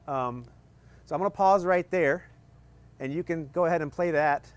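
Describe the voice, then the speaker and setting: A man's voice: on the high side, normal speed, smooth. A man in his thirties presenting a live equipment demonstration outdoors, explaining step by step in a casual, joking way with plenty of fillers. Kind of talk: live technical demo talk. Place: outdoor tent at a desert field demo.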